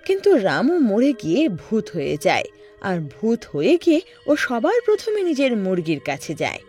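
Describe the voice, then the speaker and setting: A woman storyteller delivers scripted narration dramatically. Her pitch swings very widely, and music plays behind her. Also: wildly swooping pitch, moderate pace, darkish tone.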